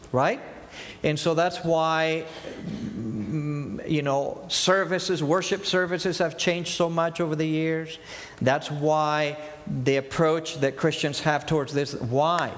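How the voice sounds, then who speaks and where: Argentine-accented man preaching in a reverberant hall. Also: on the high side. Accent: Argentine Spanish. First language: Spanish. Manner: earnest, asks rhetorical questions and answers them. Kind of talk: sermon.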